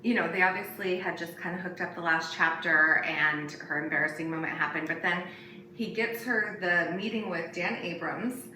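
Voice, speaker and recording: Lowish-voiced woman in an echoey room. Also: moderate pace, pushed and bright. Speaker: podcast guest. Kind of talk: casual chat about a novel. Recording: echoey room, distant mic, noisy.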